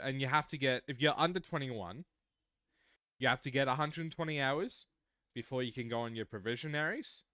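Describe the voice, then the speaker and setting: A man's voice: mid-range voice, bright, forceful tone, clear, resonant tone, no echo, clean studio sound. An Australian man in his mid-twenties, chatting casually and rambling through explanations while streaming a game. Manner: rambling, explains at length with restarts. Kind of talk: casual livestream chat while gaming.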